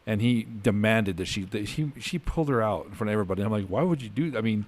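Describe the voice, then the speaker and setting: A man's voice: on the low side, expressive, fast. A middle-aged man hosting a podcast, telling crude jokes and laughing often in casual banter. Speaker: podcast host. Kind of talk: casual chat.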